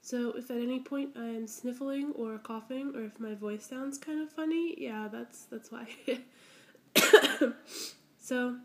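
A woman's voice: fairly high pitch, measured pace. A young woman vlogger with a head cold, chatting casually and rambling as she unboxes small toys, gushing and giggling. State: congested with a cold. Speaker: squishy collector vlogger. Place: indoors at home, camera pointed at a table.

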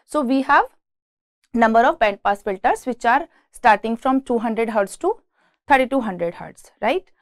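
High-voiced Indian woman lecturing. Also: animated, dry recording, clean studio sound. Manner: explanatory, halting, often repeating words. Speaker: engineering lecturer. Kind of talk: classroom lecture explaining slides. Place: indoors, beside a presentation screen.

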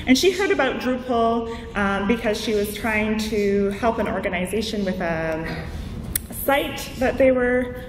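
A woman with a mid-pitched voice gives an informal, conversational conference talk, explaining things through examples. She sounds a bit distant from the mic in a reverberant hall, with faint noise behind her. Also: expressive, a little slow. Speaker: conference keynote speaker. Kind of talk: informal slide presentation to an audience. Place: large indoor conference room.

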